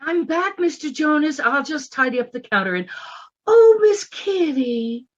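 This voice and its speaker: Gushing young frontier shopgirl, high-pitched. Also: animated, a little slow, dull audio. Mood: bright, polite. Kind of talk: radio western acting.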